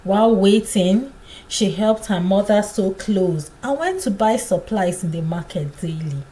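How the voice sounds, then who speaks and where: A woman narrating a scripted folktale in a dramatic, deliberate storytelling manner. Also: mid-range voice, warm tone, poor audio.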